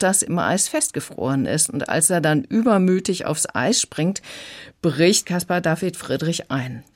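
A woman's voice: lowish voice, a little mellow. A woman explaining and reviewing as a critic in a radio conversation.

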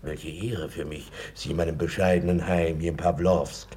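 An actor playing an obsequious, gossipy schemer in a radio play, gravelly and husky, wordy and insinuating in a servile way. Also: male voice, rumbling low, steady tone, moderate pace, mellow, a little hiss or hum. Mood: fawning. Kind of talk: German radio play acting.

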